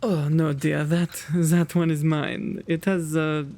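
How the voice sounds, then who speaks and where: A man playing a kind, quaint gentleman vampire in an ASMR roleplay, with genteel, old-fashioned, fussy diction and a creaky voice. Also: a little high, little pitch movement, slow. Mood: doting.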